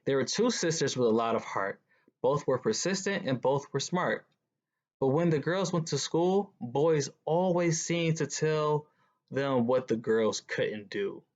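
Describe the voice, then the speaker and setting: A man's voice: mid-range voice, animated, a little slow. A man reading a children's picture book aloud as a guest reader. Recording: dull, lo-fi sound.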